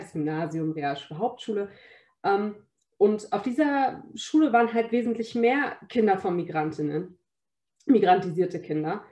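A woman's voice: medium pitch, darkish tone. A young woman giving an earnest, reflective personal account, searching for her words as she goes. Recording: roomy, echoing sound.

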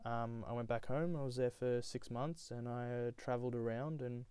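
A man's voice: mid-range voice, steady tone, moderate pace, warm tone, smooth, dry recording. A young man from Nepal, telling his own story in a reflective, rambling way with many fillers. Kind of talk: casual personal storytelling.

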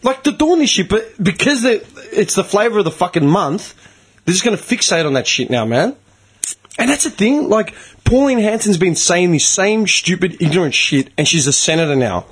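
A man's voice: high voice, normal speed, husky. A man in his thirties with an Australian accent, telling stories in a casual, swearing-heavy chat. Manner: swears a lot, animated storytelling.

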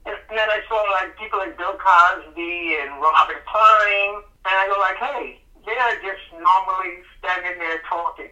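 A man's voice: high voice, normal speed, few pauses, sharp tone, lo-fi sound. A middle-aged man from the Bahamas, a comedian guest talking casually in an interview, rambling with many restarts.

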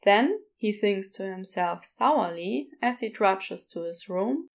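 A woman narrating scripted fiction in a measured, wry storytelling manner. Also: mid-range voice, lively intonation.

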